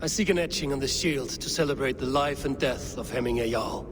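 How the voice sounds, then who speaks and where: Actor playing a solemn Viking warrior, noisy backdrop. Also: male voice, mid-range voice, natural voice. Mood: earnest. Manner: measured, solemn, formal phrasing. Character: Eivor, steady male Viking warrior and clan counselor. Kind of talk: video game cutscene acting.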